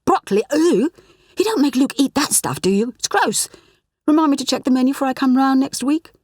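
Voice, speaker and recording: A woman's voice: high voice, lively intonation, a little fast, darkish tone, no room sound, clean studio sound, voice pushed up. A woman voicing a cheeky, slangy fifteen-year-old schoolboy for an audiobook, over-cheerful and sarcastic. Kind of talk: audiobook character voice.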